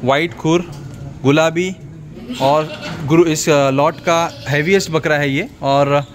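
A middle-aged man with a slightly raised voice, chatting casually as he tours a goat farm. He speaks in an admiring way and keeps repeating figures aloud. Goats bleat over clear farm noise around him. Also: a little high, fairly even intonation, takes it slowly. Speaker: vlogger touring a goat farm. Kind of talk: casual walkthrough chat. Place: outdoor goat farm sheds. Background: goats bleating, farm ambience.

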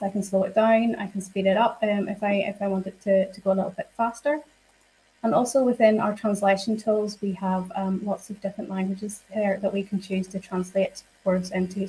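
A woman giving a live software demonstration to teachers. She explains each step in a friendly way and uses frequent fillers. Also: medium pitch, low-quality recording. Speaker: software trainer.

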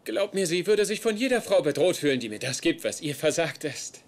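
Highish, defensive young man. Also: big pitch swings, brisk. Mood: sheepish, evasive. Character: excitable, defensive young man devoted to his mother. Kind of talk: dubbed sitcom acting.

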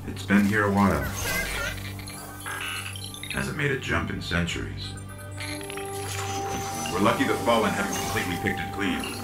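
Eager, lively little robot companion. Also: male voice, low voice, normal speed, long pauses, poor audio. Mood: hopeful, determined. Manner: earnest, urgent, expressive. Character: small floating robot companion, eager, anxious and protective. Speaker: guide character in a science-fiction shooter game. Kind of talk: cinematic game voice acting. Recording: loud cinematic music behind the voice. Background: loud music.

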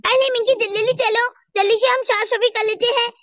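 An excitable little schoolgirl from a children's story, with an extremely high, bright voice. She speaks in exclamatory, sing-song phrases and sounds a bit distant from the microphone. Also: quick pace, dry recording. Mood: worried. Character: excitable, easily embarrassed little schoolgirl chattering with friend.

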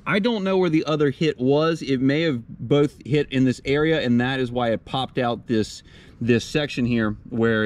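A man in his thirties talking casually to camera outdoors as a vlog host, with a laid-back, wry, informal manner. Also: medium pitch. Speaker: host of a shooting channel. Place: outdoors at a wooded backyard shooting range.

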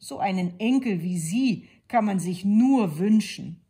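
A woman's voice: mid-range voice, expressive, a little slow, mellow, breathy edge, heavily acted. A woman voicing a polite, approving male wine seller in a storybook.

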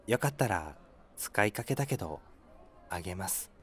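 A man's voice: deep voice, animated, normal speed, a bit bright. A man playing an earnest, mild, slightly airheaded young product developer who speaks politely and unassumingly. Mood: modest, agreeable. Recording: faint music behind the voice.

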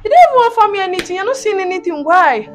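A woman playing a pushy high-voiced mistress. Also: lively intonation, rapid-fire, slight background noise. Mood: entitled. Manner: pushy, entitled, says "like" a lot. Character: bold young mistress posing as in-law.

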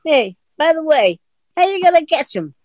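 A woman's voice: high voice, big pitch swings, normal speed, darkish tone, dry recording. A woman acting a flippant, offhand male knave, a petty thief in a comic radio drama. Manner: flippant, casual.

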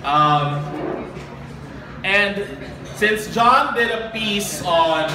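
A young man with a high-pitched voice performs spoken word poetry at a live event. His delivery is earnest and deliberate, shaped by dramatic pauses. The sound is very echoey and picked up from a distance, with clear noise. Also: lively intonation. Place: small live open-mic event. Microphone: handheld and stand microphone, heard from a distant camera.

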